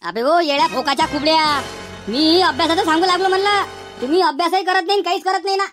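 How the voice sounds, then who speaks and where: Man doing a shrill, scolding Varhadi schoolmaster. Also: fast, music in the background. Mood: scolding, despairing. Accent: Varhadi Marathi. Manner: hectoring, repetitive, threatening. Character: exasperated, scolding male village schoolteacher. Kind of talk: cartoon comedy dialogue.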